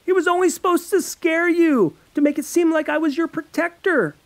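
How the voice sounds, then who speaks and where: A man's voice: extremely high pitch, a little slow, vocal fry, darkish tone, no room sound, pitched above the performer's own voice, exaggerated voice. A man voicing a panicked, guilt-ridden seventeen-year-old boy in a read-aloud horror story. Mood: panicked pleading.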